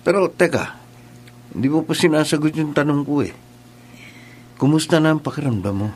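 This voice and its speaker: A man's voice: a little high, moderate pace, slight background noise, lightly acted. An actor playing a friendly, teasing male school nurse in a radio drama. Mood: kindly.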